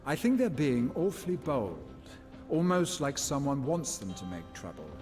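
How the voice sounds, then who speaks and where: Actor playing a shrewd underworld schemer, lively pitch. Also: male voice, normal speed, soft background music, lightly acted. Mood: shrewd, explaining. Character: smooth, shrewd male schemer offering bounty deals. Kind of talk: video game cutscene acting.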